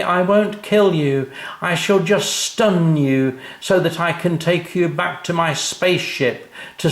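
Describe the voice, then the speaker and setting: A man voicing an excitable, eager young boy in a children's story, squealing and exclaiming. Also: high voice, echoey room, pitched above the performer's own voice.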